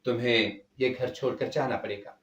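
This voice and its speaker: A man's voice: medium pitch, fairly even intonation, moderate pace, a bit bright, natural voice. A man voicing a stern, admonishing mother who pressures her son in a dramatic story. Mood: threatening. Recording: echoey, distant from the mic.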